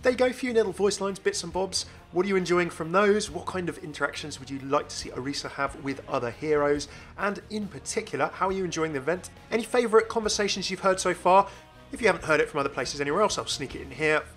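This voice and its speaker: Fast, bright, enthusiastic male gaming host. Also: high voice, animated, faint music behind. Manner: enthusiastic, chatty. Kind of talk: informal video game commentary.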